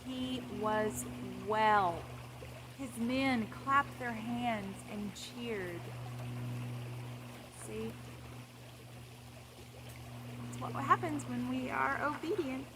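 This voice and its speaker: A woman with a fairly high voice reads a children's Bible story aloud. She explains things simply and repeats phrases for young listeners. There is clear, steady noise behind her. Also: extremely slow.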